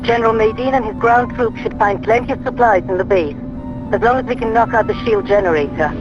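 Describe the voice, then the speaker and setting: A man plays a defecting Imperial officer in a space combat game. His voice is high for a man, his speech formal and dry. It comes through dull lo-fi radio audio under loud music. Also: fairly quick, close to an everyday voice. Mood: persuasive. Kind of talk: scripted video game radio dialogue.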